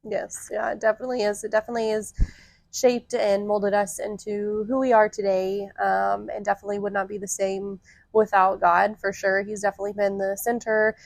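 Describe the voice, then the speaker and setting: A woman's voice: mid-range voice, little pitch movement, brisk, vocal fry, no room sound. A woman around thirty co-hosting a podcast, chatting casually and upbeat with frequent laughs and fillers. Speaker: podcast co-host. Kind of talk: casual conversational podcast chat.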